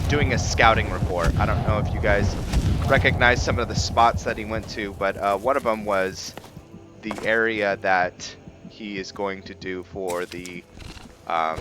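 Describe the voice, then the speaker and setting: A man giving casual, reflective commentary over a roleplay video game, thinking aloud in a halting way. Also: on the low side. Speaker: gaming host.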